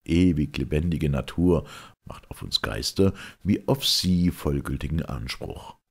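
Very deep, gravelly man reciting verse. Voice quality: gravelly, husky. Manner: solemn, theatrical, measured. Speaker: reader of classic literature. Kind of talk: dramatic verse recitation of a classical play.

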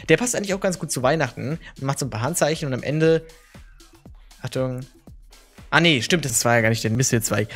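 A hoarse young man hosting his gaming channel, casually talking viewers through the day's game shop items in a chatty, relaxed way. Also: mid-range voice.

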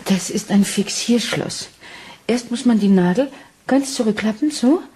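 An actress playing an anxious, trusting Victorian wife in a German radio crime drama, earnest and refined. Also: female voice, fairly high pitch, unhurried, warm tone, a little hiss or hum, lightly acted.

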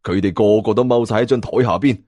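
A man's voice: on the low side, quick pace, dark tone, no echo. A man with a Hong Kong Cantonese accent, narrating an audiobook in a dramatic first-person storytelling style.

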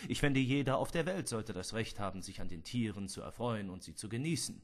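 A man's voice: on the low side, lively intonation, brisk. A man voicing a proud, indignant elderly park founder in a film scene reenactment, speaking emphatically and repeating himself. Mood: earnest.

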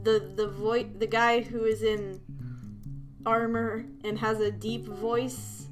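A young woman chatting excitedly while playing a game with friends, often stammering and restarting sentences. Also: fairly high pitch, takes it slowly, over music. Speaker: gamer. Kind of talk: casual gaming chat with friends.

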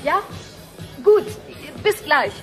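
High-voiced, flustered, spirited young woman. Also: very animated, extremely slow, over music, heavily acted. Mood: anxious, urgent. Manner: hesitant, colloquial, spirited. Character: flustered, persistent, cheeky young woman seeking her father. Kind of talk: naturalistic radio play acting.

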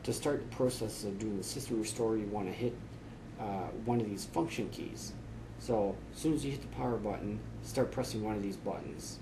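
A man in his forties explaining computer steps to camera in a relaxed, chatty way with frequent fillers, filmed in a small office. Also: on the low side, moderate pace, noisy background. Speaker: tech tips host. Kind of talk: casual how-to explanation to camera.

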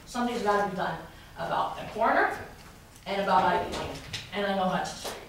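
A woman's voice: mid-range voice, a little fast. A woman making a public complaint at a city council meeting, with many restarts, growing more heated. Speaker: resident speaking at public comment. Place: large wood-paneled council chamber. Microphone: podium mic recorded by a far camera. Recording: echoey chamber from far off, noisy.

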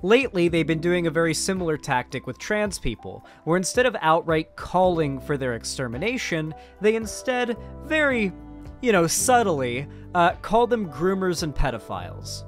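Higher-pitched young American man, streaming commentary. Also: very animated, moderate pace, soft background music. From central West Florida, American South. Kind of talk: casual opinionated commentary to a livestream audience. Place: small home streaming room, indoors. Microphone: close microphone on boom arm, headphones on.

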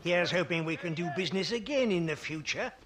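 An actor playing a dissolute, jovial middle-aged English trader in a Western video game, stammering and pompous, with a British accent. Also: male voice, sits high, lively intonation, fairly quick, background noise. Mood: giddy, defensive. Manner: blustering, stammering, pompous, tags phrases with "what?". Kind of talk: video game cutscene acting.

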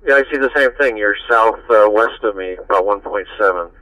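Man, lowish voice, dry digital radio transmission, amateur operator, casual, friendly, rambling. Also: brisk, bright, forceful tone, no echo, rough recording. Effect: two-way digital radio transmission.

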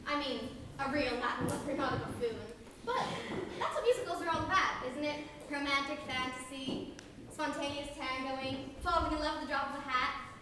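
A woman's voice: sits high, moderate pace, noisy background. A young woman speaking wryly and theatrically on a school stage, recorded from far off in an echoey hall. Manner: wry, theatrical, switching into a fake French act. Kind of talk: stage musical, narrator then comic French-accented role.

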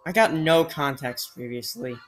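A woman's voice: low-pitched, animated, moderate pace. A woman giving comedic, sarcastic commentary over gameplay, with exasperated quips. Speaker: let's play commentator.

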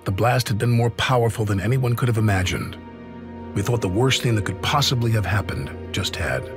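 A man playing a somber American veteran soldier, narrating war memories in a grave, measured way for a game. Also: lowish voice, animated, music in the background, close to an everyday voice. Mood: grave, reflective. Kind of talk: scripted dramatic game narration.

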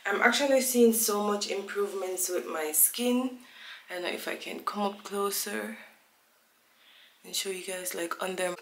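A woman's voice: mid-range voice, fairly even intonation, moderate pace, echoey room, slight background noise. A woman in her twenties from Jamaica, talking casually to the camera in a small room at home, chatty and rambling as she loses her train of thought. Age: 20s. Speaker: vlogger. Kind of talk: casual vlog chat to camera.

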